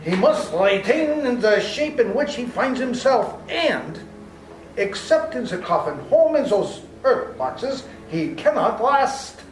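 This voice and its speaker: Brief: male actor as a commanding old vampire hunter, high lively voice, echoey live room. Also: slow, slight background noise, exaggerated voice. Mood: grave. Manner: grave, urgent, commanding. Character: earnest old vampire-hunting professor. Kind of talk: live amateur radio play. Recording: roomy hall with music behind.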